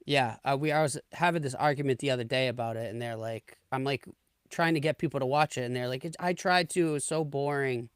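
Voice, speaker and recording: A man's voice: mid-range voice, quick pace, clear, resonant tone, no echo, studio quality. A man in his thirties hosting a podcast, chatting casually and enthusiastically, often trailing off mid-sentence. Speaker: podcast host. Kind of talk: casual chat.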